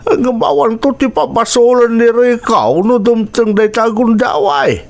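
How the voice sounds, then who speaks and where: A man's voice: sits high, heavily acted. An actor with an Ilocano accent playing a concerned, protective elderly father-in-law in a radio drama. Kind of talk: Filipino radio drama acting.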